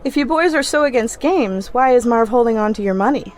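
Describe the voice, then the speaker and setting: A woman's voice: a little high, lively intonation, fairly quick, lightly acted. A woman playing a patient, mysterious femme fatale who is Death in disguise, in an old-time radio play. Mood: teasing.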